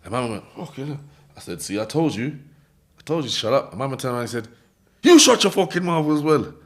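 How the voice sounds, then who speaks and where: A man with a London accent telling a story in an interview, in animated street slang, acting out voices and laughing often. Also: medium pitch, fast. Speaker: interview guest.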